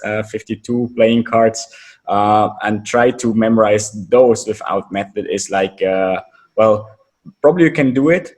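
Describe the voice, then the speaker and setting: Lower-voiced podcast host. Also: male voice, darkish tone. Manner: loose, rambling questions with fillers like "like" and "um". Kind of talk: casual interview questions.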